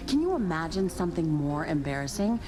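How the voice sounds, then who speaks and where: A woman's voice: sits low, big pitch swings, brisk, poor audio. A woman voicing a chatty young nymph in a video game, breezy, casual and sardonic. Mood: breezy, wry.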